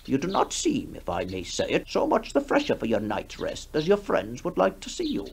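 An actor playing a grave, polite bishop in a radio horror story, speaking formally and hesitantly. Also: male voice, medium pitch, huge pitch swings, moderate pace, gravelly, a little mellow. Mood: courteous, cautious. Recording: noisy 1960s radio broadcast.

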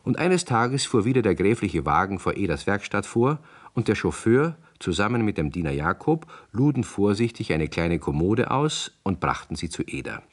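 A man's voice: fairly low pitch, big pitch swings, moderate pace. A man narrating a scripted story for children in the manner of a 1960s radio play.

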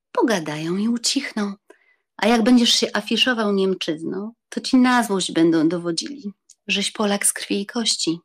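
An actress playing a teasing, pouty society countess with a flirtatious manner, in a dramatized audiobook. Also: female voice, medium pitch. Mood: amused, teasing. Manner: flirtatious, teasing, French phrases.

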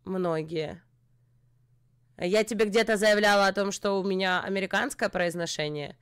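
A woman's voice: mid-range voice, moderate pace, clean tone. A young woman from Ukraine, streaming a chat roulette argument in a sarcastic, lecturing way. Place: dim room with purple light.

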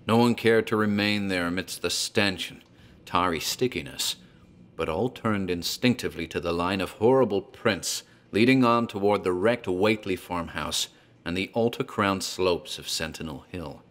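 A man giving measured, grave scripted horror narration. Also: sits low, a bit bright.

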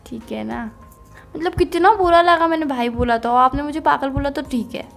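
High-voiced young Indian woman on a call. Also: normal speed, creaky, fairly bright tone. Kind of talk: casual intimate phone chat. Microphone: phone call. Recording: phone call recording, faint music.

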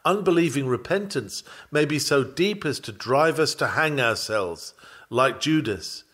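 Guy reading an old-style sermon out loud, medium pitch, formal and solemn, very measured. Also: lively intonation. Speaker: sermon reader. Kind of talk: read-aloud sermon narration.

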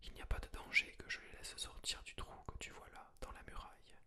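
A reader whispering the lines of a wild, cruel little robber girl from a fairy tale, in the same hushed voice as the narration. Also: brisk, close to an everyday voice. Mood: teasing. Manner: whispered throughout. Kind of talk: whispered ASMR storybook reading.

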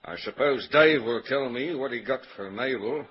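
An actor playing an older Australian country farmer and father who talks haltingly, full of hesitations, in a dull old 1930s radio recording. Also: medium pitch, slight background noise, close to an everyday voice. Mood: plain.

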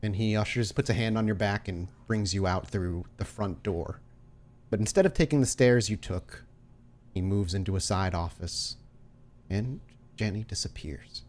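A man's voice: low-pitched, a little mellow. A man running a tabletop roleplaying game, improvising scene narration in a conversational, affirming way. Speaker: game master. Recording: music behind the voice.

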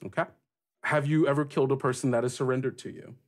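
Man running a tabletop game, deliberate and halting. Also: mid-range voice, fairly even intonation. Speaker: game master. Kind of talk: narrating and questioning in a tabletop role-playing game.

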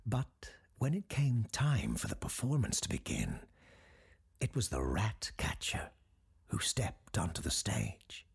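An actor playing a grave, scholarly doctor who recounts dark legends in a horror audio drama, husky and ominous, with deliberate dramatic pauses. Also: male voice, on the low side, very animated, whispered, full character voice. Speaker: character host telling a tale.

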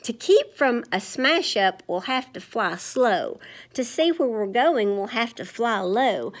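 A kindly old Santa from a picture book, as a woman reads his lines, worried yet warm-hearted. He calls out loudly and with emphasis, in a fairly high voice that stays close to her own. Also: expressive, slow.

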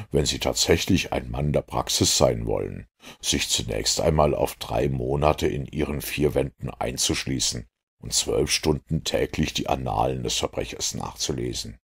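A man voicing a self-assured master detective who lectures in a measured, superior way in an audiobook. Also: a bass voice, vocal fry, breathy edge, full character voice. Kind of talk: audiobook character dialogue.

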